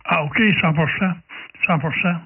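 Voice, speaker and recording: A man's voice: a little high, steady tone, moderate pace, breathy edge. A man with a Quebec French accent making casual ham radio conversation, hesitating and repeating his phrases. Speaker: amateur radio operator. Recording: distant, tinny narrow-band radio sound.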